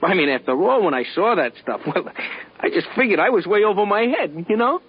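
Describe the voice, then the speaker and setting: An actor playing a nervous, eager-to-please American working-class bar patron in a 1950s radio drama, rambling and repeating himself. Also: male voice, high-pitched, sing-song, fairly quick, husky, full character voice. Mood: nervous, placating. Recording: dull vintage radio recording.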